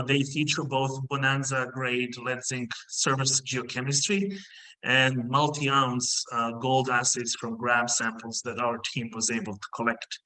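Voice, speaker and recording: A middle-aged man originally from Bosnia talks over a video call from an indoor room, explaining his company as a guest. His tone is bright and clear-edged, and he moves forward in careful steps broken by frequent filler pauses. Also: medium pitch, poor audio. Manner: measured, many filler pauses. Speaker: mining company CEO, guest.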